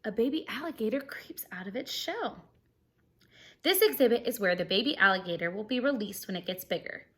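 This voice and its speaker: A woman's voice: mid-range voice, lively intonation, fairly quick, fairly bright tone. A woman teacher reading a children's picture book aloud expressively, explaining things simply and putting questions to her young listeners.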